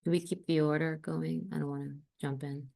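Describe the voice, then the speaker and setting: Low-voiced woman from the Dominican Republic. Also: flat delivery, fairly quick, vocal fry, warm tone. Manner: thoughtful, hesitant, many fillers. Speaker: panelist. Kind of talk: panel answer.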